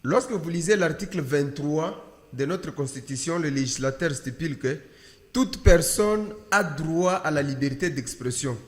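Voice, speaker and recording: High-pitched Congolese man, reverberant room. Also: animated. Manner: didactic, rhetorical questions, deliberate emphasis. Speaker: political party official, panel guest. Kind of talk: political debate interview. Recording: echoey hall-like room with long reverb.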